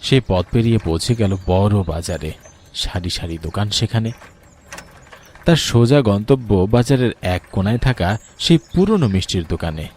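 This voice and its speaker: A man's voice: fairly low pitch, expressive, normal speed, a little mellow, slight background noise. A man reads a scripted ghost story as its narrator.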